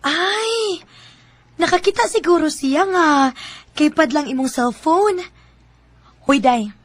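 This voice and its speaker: An actress playing a worldly, chatty female coworker who hands out advice in a radio drama, expressive, with a Cebuano Filipino accent. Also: very high-pitched, takes it slowly, exaggerated voice. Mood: knowing advice.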